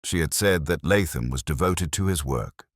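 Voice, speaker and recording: A man narrating a scripted detective drama as a weary, reflective police inspector, in a brooding, measured, literary manner. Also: a bass voice, no echo, clean studio sound. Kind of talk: scripted first-person audio drama narration.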